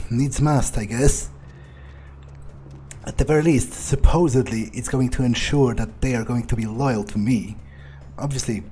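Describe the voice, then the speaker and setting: A man roleplaying a jaded, ruthless, arrogant vampire in a game, speaking in a halting, dismissive, sighing way. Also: mid-range voice, warm tone, a little hiss or hum, close to an everyday voice. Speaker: video game player roleplaying his character. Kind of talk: in-character improvised playthrough monologue.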